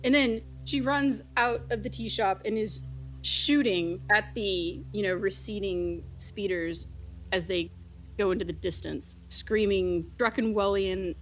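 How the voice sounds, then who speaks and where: A woman's voice: mid-range voice, unhurried, poor audio. A woman in casual improvised role-play as a tabletop game player, chatty and thinking aloud.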